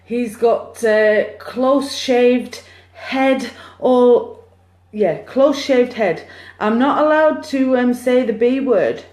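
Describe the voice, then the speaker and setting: A woman's voice: on the high side, extremely slow. Woman medium on a phone livestream, bubbly and chatty, echoey room. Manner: chatty, excitable, cheering on share counts. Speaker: psychic medium. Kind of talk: live-streamed card reading.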